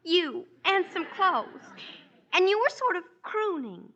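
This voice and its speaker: Casting: an excited little sister, very high and bright, old radio sound. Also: female voice, takes it slowly, full character voice. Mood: eager. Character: excited young girl, eager gossiping little sister. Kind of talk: 1940s radio acting. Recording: dull vintage radio broadcast.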